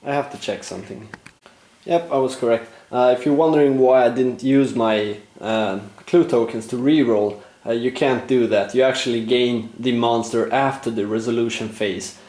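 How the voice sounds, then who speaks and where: Man, mid-pitch, casual board game commentator with a slightly hoarse voice; roomy echo. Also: normal speed, a little mellow. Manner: explains rules while thinking aloud, frequent "uh" fillers. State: losing his voice, clearing throat. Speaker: board game player narrating a play-through.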